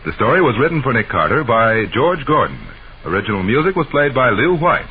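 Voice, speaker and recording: A man's voice: mid-range voice, sing-song, fairly quick. An American man announcing a radio serial in a formal, polished 1940s style. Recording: scratchy, tinny 1940s radio recording.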